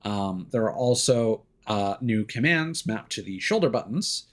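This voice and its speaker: A man giving casual live game commentary, rambling and thinking aloud with hesitant pauses. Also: on the low side, expressive. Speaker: game streamer. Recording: game music behind the voice. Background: game music.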